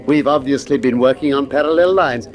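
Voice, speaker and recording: An actor playing a brisk, analytical detective with an English accent, speaking with assured, theatrical precision in a radio drama. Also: male voice, medium pitch, a little hiss or hum, close to an everyday voice. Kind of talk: British radio drama acting.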